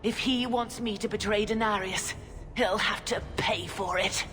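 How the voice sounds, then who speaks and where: A woman's voice: mid-range voice, fairly even intonation, a little slow, fairly bright tone, close to an everyday voice. An actress playing a cold, scheming female mage bargaining for her freedom in a video game. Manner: cool, bargaining, haughty. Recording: game audio with reverb and music.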